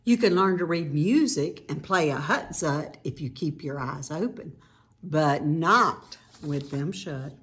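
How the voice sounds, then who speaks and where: A woman reading a children's picture book aloud in an expressive, teacherly way, with informal explanatory asides to young listeners. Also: low voice, takes it slowly, a little hiss or hum.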